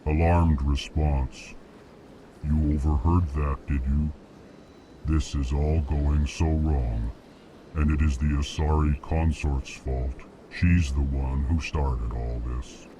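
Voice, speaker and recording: An actor playing a slow, formal, anxious alien diplomat with a monotone delivery in a video game. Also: male voice, a bass voice, noisy background. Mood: distressed, guarded. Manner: monotone delivery, announces own emotional tone before sentences.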